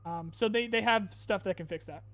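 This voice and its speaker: A man with a high voice talks casually to his viewers, reading their questions and answering off the cuff with plenty of ums. He sounds a little tired, his voice a bit strained. Soft music plays faintly underneath. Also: a little fast. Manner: reads viewer questions aloud then answers casually with fillers. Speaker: streamer answering chat questions. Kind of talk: casual chat.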